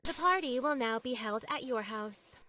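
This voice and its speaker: Woman as a fairly high, blunt teenage girl. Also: expressive, moderate pace, sharp tone. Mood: firm, blunt. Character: blunt, casual teenage girl, bossy big sister.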